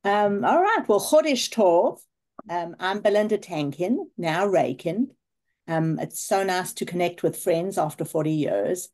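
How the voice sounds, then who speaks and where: Low, mellow South African woman in her fifties. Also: lively intonation, unhurried. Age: 50s. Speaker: class reunion participant. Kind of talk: personal life update, partly prepared. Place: video call.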